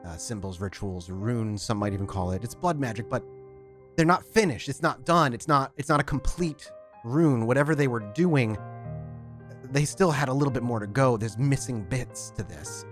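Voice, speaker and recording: A man's voice: medium pitch, normal speed, soft background music, lightly acted. A man voicing a nervous young male apprentice sorcerer who stammers and hesitates, with many fillers and restarts. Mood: uneasy, explaining. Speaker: storyteller voicing a character.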